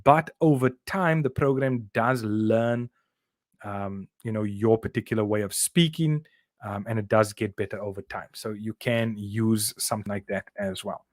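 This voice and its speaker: A man's voice: lowish voice, normal speed, no room sound, studio quality. A middle-aged South African man teaching a revision lesson, explaining step by step and checking in with "okay?" and "right?". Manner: uses fillers and repeats words while explaining.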